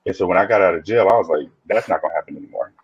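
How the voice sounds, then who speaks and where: A man's voice: sits low, rapid-fire, slightly rough, no echo, dull audio. A man guesting on a podcast, talking reflectively in a casual interview and often restarting his sentences. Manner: reflective, speaks in restarts and repeats.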